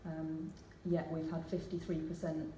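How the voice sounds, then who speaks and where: A woman, possibly in her thirties, giving an earnest, measured hustings speech as a council candidate, with filler ums. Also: low voice, level tone, mellow. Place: large church hall. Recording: echoey hall, distant mic, faint hiss.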